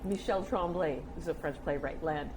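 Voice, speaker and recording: An older woman, telling stories conversationally as an interview guest, with an animated manner. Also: low voice, moderate pace. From United States. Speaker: interview guest, communications specialist. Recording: clear background noise and room ambience.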